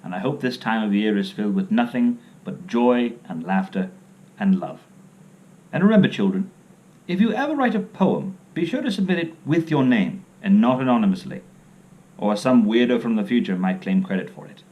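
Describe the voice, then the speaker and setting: A man reading and chatting as a storyteller, theatrical and expressive with a tongue-in-cheek, playful manner. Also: mid-range voice, moderate pace, muffled recording. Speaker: storyteller, host. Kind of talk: dramatic poem reading with comic playful asides.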